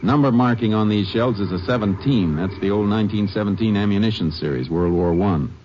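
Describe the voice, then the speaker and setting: A man voices a methodical lawman in an old radio western, his voice pitched low and unhurried. He reasons through clues in a plain, matter-of-fact way. The sound is dull and lo-fi, with a faint hiss under it. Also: natural voice. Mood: calm. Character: steady adult male Texas Ranger investigator. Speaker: Ranger lead and narrator. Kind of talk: 1950s radio drama acting.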